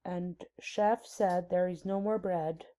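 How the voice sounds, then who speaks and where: A woman voicing a discreet male palace butler in a storybook, hushed and deferential. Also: lowish voice, fairly even intonation, takes it slowly.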